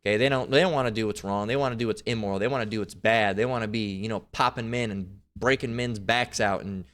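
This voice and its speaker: A man reading verses aloud and commenting on them in a loose, profane way. He trips over hard words and thinks out loud while he searches for things. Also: on the low side, fairly even intonation, fast. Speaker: online religious commentator. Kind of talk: casual scripture reading and commentary.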